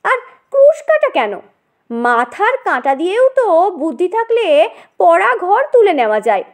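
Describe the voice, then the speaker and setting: A woman tells a dramatized audio story in an extremely high voice. Her delivery is unhurried and animated, with expressive shifts as she acts out the dialogue.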